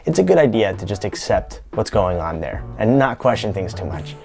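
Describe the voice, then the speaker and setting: A young American man with a low voice talks through a scripted vlog, playful and a bit self-deprecating. Faint music sits under his voice. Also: big pitch swings, normal speed, vocal fry, darkish tone. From United States. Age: young adult. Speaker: YouTube creator.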